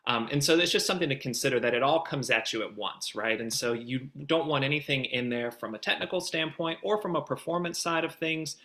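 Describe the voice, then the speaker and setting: A man's voice: mid-range voice, brisk, hardly any pauses, sharp tone. A man giving conversational expert advice as a guest producer, in an explanatory, emphatic way.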